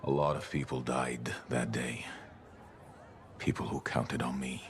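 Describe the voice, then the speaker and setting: Very deep, roguish old veteran. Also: male voice, flat delivery, unhurried, slight background noise. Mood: haunted, brooding. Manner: roguish, bantering, world-weary. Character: gruff older male veteran, roguish, haunted by loss. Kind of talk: video game dialogue.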